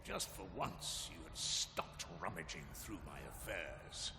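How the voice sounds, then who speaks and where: A scolding man from a dark fantasy game. His low voice swings animatedly in accusation, ringing through a very echoey hall. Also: sing-song, takes it slowly, exaggerated voice. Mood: reproachful. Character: reproachful man scolding someone meddling in his affairs. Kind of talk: dramatic video game voice acting. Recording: echoey hall reverb, clear ambient noise.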